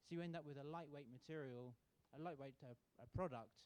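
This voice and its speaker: A man from the UK giving a sponsor's welcome speech at a student contest, relaxed and halting with many fillers. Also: on the high side. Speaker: sponsor representative. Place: university auditorium.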